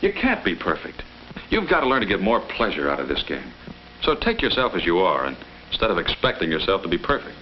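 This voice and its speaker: An encouraging high school tennis coach in a 1950s educational film. His voice is fairly low and moves in a lively, reasoning way. The old soundtrack is dull with faint noise. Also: brisk. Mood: patient, encouraging. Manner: reasoning, plain-spoken.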